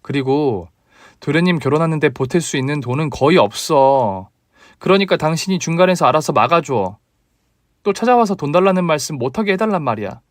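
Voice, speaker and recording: A man pitching up as a polite but firm young wife. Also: lively intonation, fairly quick, dry recording, clean studio sound, lightly acted. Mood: indignant. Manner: polite, indignant, pleading.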